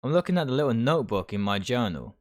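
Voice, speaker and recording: A man's voice: medium pitch, very animated, fast talker. A man livestreaming a visual novel, reading its text aloud and stumbling over phrases now and then. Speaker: video game streamer.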